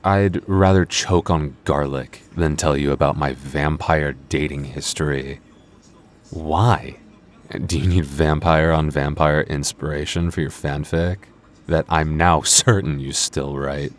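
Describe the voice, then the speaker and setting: A man's voice: very deep voice, fairly even intonation, unhurried. A man playing a cocky, moody male vampire coworker in an intimate ASMR roleplay, teasing in a dry, flirty way. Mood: dry, bossy. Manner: smug, flirty, dry teasing with sighs and chuckles. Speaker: voice actor in audio roleplay.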